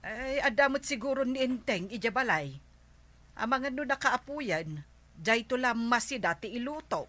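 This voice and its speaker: An actress with an Ilocano accent playing a practical, homely village aunt in a radio comedy drama. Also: female voice, on the high side, expressive, moderate pace, fairly bright tone, no echo. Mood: matter-of-fact.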